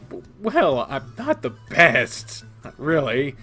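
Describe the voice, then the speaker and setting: A man's voice: medium pitch, huge pitch swings, slow, pushed and bright, breathy edge, faint music behind, full character voice. A man playing a polite, modest young male athlete who talks hesitantly and wryly. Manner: modest, hesitant, dryly wry.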